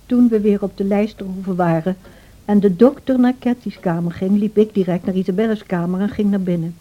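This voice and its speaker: An actress playing a devoted, troubled housekeeper who tells a tragic story in a radio drama, in a measured, confiding way. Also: female voice, medium pitch, fairly even intonation, natural voice. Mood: sombre storytelling. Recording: dull old radio play recording.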